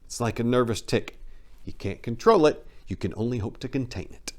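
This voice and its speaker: A man's voice: medium pitch, animated, brisk. A man reading a memoir aloud as host, with a theatrical, emphatic delivery. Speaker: channel host and reader.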